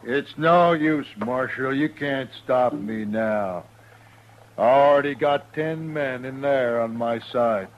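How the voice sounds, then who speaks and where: A man's voice: mid-range voice, slow, natural voice. Man playing a blustering, nervous frontier hothead. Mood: defiant. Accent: rural American. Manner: blustering, agitated. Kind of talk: 1950s radio western acting. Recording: muffled vintage radio recording.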